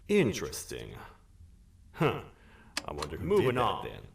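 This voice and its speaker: A man's voice: deep voice, huge pitch swings, normal speed, gravelly, far from the mic, slight background noise, heavily acted. An actor playing a stern, bossy lawman monster in a video game, with a commanding, clipped way of questioning. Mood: suspicious, interrogating. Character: gruff bossy cartoon lawman monster, stern but loyal to queen. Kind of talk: video game character dialogue.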